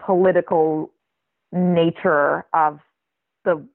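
A writer raised in Israel with a flat American accent, speaking as an interview guest in a thoughtful, searching way with many restarts. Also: medium pitch, takes it slowly, vocal fry, no echo. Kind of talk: reflective conversational interview. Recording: tinny phone-line recording.

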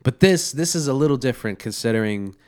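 A man's voice: mid-range voice, lively intonation, fast talker, darkish tone. A man co-hosting a casual beer podcast, a little congested and nasal, rambling with many restarts and a slightly sore throat. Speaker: podcast co-host. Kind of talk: casual chat over beer.

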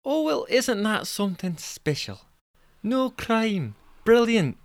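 A man's voice: sits high, sing-song, takes it slowly, no echo, pitched above the performer's own voice, full character voice. A man voicing a long-suffering but fond Scottish girlfriend in an amateur story reading, grumbling in a wobbly put-on Scottish accent. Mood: sarcastic, exasperated.

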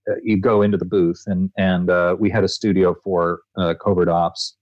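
A male voice actor being interviewed, telling expansive, animated anecdotes with frequent restarts. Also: low voice, moderate pace, no echo, muffled recording. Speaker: voice actor guest. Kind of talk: interview answers, storytelling.

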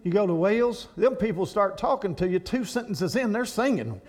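A man preaching to a church congregation, animated and rambling, with frequent restarts. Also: high voice, fairly quick. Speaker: guest speaker at a church. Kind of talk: sermon to a congregation.

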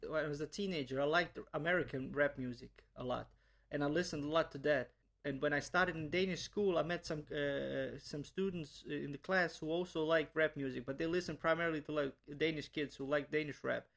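A man's voice: on the high side, fairly even intonation, fairly quick, gravelly, a bit bright. A young man from Bosnia, a language teacher, answering interview questions earnestly with many restarts and repeated words.

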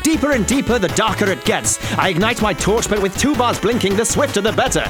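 A man's voice: high-pitched, animated, brisk, pitched above the performer's own voice. A man voicing a pompous mock-heroic questing narrator in grandiose, archaic storybook tones over music.

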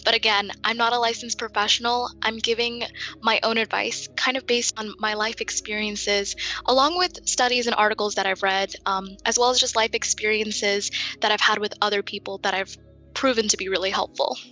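A woman's voice: mid-range voice, steady tone, fairly quick, bright, forceful tone, dull audio, faint music behind. A young woman from the United States, a guest on a casual podcast, talking in an earnest, articulate way and laughing easily. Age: young adult.

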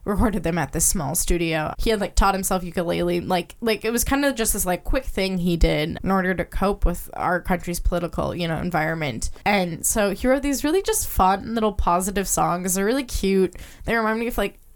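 A woman's voice: on the low side, a little fast, hardly any pauses. A young woman, an arts writer getting over a cold, chatting enthusiastically about music with plenty of fillers. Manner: enthusiastic, laughs easily, rambling with fillers. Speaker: arts and culture writer, podcast guest. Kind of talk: casual music-recommendation chat.

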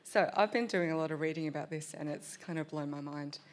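A woman speaking on a panel, thoughtful and measured, with frequent pauses and ums. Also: deep voice. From Australia. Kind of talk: panel discussion.

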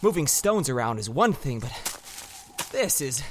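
A man's voice: medium pitch, big pitch swings, slow. A young man acting as an impatient, doubtful apprentice warrior in an audio drama. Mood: discouraged, doubtful. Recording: heavy background noise.

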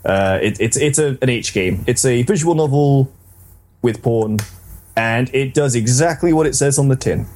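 A man with a soft, mellow voice chats casually as a podcast co-host. He rambles and hesitates, often poking fun at himself. His pitch sits in an ordinary middle range. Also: normal speed, slight background noise. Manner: hesitant, rambling, self-deprecating. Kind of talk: casual podcast discussion of games.

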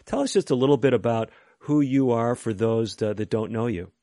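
A man, a physician, hosting an interview with measured, conversational questions. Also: medium pitch, dark tone, no room sound, clean studio sound.

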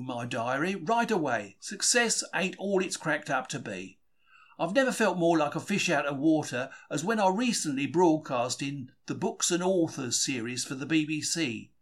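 A man's voice: on the high side, a bit bright. A man reading a diary as a quaint, chatty, plain-spoken 1940s Cockney bookseller. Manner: colloquial, self-regarding, plain-spoken, exclamatory. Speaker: reader of a diary. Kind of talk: dramatised first-person diary reading.

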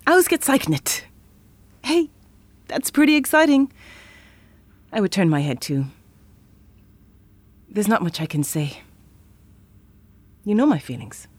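A woman's voice: medium pitch, sing-song, slow, long pauses, a little mellow, no echo. An actress playing a frank, playful, independent woman in a naturalistic audio drama. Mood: wry.

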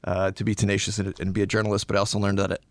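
A man's voice: lowish voice, monotone, rapid-fire, no echo. A middle-aged man from Arizona, a podcast guest answering questions in a casual, hesitant way with many restarts. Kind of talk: casual interview conversation.

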